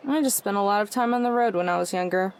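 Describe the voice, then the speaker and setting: A woman's voice: medium pitch, quick pace, a little hiss or hum, lightly acted. A woman playing a reserved, wry, modest young road-tripper in a visual novel, with a dry delivery.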